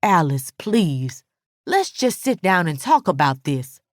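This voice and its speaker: A woman's voice: lowish voice, very animated, slow pace, dry recording, clean studio sound. A woman playing a guilty woman in a dramatic audiobook, imploring and placating her friend. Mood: pleading. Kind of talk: dramatic audiobook character dialogue.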